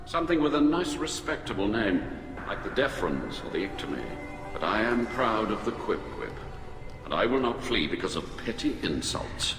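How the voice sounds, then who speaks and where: Dignified alien admiral, swooping intonation. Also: male voice, on the low side, very animated. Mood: proud, dignified. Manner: formal, measured oratory. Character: dignified alien male admiral, grave, principled, proud. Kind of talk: video game dialogue. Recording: long hall reverb, loud music behind. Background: loud game music.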